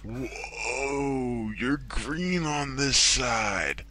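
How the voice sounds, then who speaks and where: A man voicing a goofy, spaced-out stalker slacker with a raspy voice in an amateur anime parody dub. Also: mid-range voice, very slow, bright, forceful tone, exaggerated voice. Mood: dazed, goofy.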